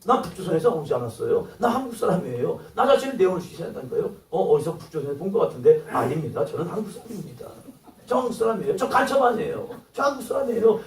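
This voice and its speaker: A man preaching from the pulpit with a high, animated voice that swings widely as he acts out quoted lines. He sounds set back from the microphone, and his words ring through an echoey church hall. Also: vocal fry, recorded from a distance. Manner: acts out quoted dialogue, rising to exclamations. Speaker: pastor. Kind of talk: sermon.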